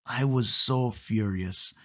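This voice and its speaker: A man narrating a suspense story in the first person, with expressive, dramatic delivery. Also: medium pitch, slow, highs cut off. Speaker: audiobook narrator.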